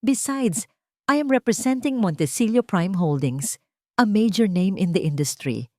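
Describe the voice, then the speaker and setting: A female narrator acts out a haughty, entitled woman with a Filipino accent in an audiobook drama. She is smooth and presumptuous, with swinging pitch in a dry recording. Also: animated, measured pace, mellow, no echo, natural voice. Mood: haughty, coaxing. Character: haughty, entitled woman rival pushing for favours.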